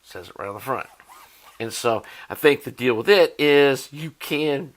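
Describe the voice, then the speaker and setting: A man's voice: mid-range voice, animated, moderate pace. An American man talking informally as a hobbyist video host, explaining gear in a rambling, think-aloud way. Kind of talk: casual explanatory product talk.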